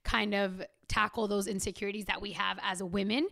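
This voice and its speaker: A woman co-hosting a casual podcast chat, upbeat and quick to laugh, introducing a guest. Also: medium pitch, little pitch movement, gravelly, bright, forceful tone. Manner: upbeat, laughs often, uses fillers. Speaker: podcast co-host.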